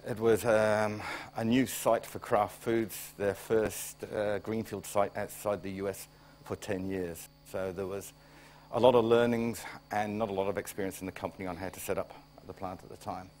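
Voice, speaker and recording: A man's voice: on the low side, fairly even intonation, normal speed, a little mellow. A middle-aged man with a New Zealand accent, a plant director giving a conference talk, plain-spoken and wry, coming down with a cold. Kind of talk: conference presentation.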